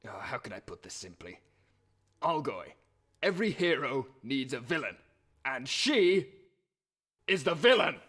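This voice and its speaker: A man's voice: medium pitch, big pitch swings, a little slow, pauses often, a bit bright. Man playing a swooping, theatrical, wordy hero. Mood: earnest persuading. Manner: melodramatic, articulate, earnest. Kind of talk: comic puppet musical dialogue.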